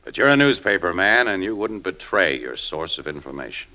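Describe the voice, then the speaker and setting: A hard-nosed but decent press photographer in a vintage radio drama. His voice is very low yet forceful and bright, and he talks bluntly in firm, skeptical slang. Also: sing-song, brisk, exaggerated voice. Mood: firm, reproving. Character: tough, decent, skeptical newspaper photographer, a man. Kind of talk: 1950s radio drama acting.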